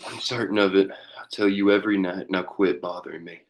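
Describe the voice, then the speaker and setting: Man playing a very deep-voiced haunted outlaw boss. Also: steady tone, brisk, slight background noise. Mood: weary, irritated. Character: haunted male war-veteran outlaw gang leader. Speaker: actor in a table read. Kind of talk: amateur script read-through of a western.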